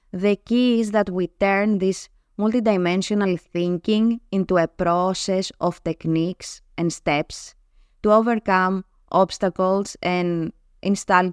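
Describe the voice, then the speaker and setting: A woman with a Greek accent, a native Greek speaker, giving measured, explanatory answers as an interview guest. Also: on the low side, smooth, no room sound, studio quality. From Greece. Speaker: guest, NLP trainer. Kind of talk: reading prepared answers in an interview.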